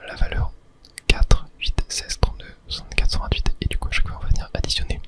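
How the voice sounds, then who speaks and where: Someone whispering a game let's play, asmr style, all breath no voice, rambly and self-correcting. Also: fast talker. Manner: rambling, self-correcting, soft mouth-sound fillers like tac and hop. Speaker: gaming video host.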